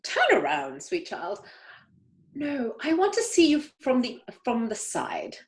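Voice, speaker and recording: Casting: a woman as a grand, judgmental older aunt for a play reading; high voice, extremely wide swings, haughty, occasional stumbles. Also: huge pitch swings, full character voice. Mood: condescending, appraising. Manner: haughty, emphatic, halting delivery with stumbles. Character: imperious, snobbish older upper-class aunt, grandly judging everyone. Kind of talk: amateur play read-through.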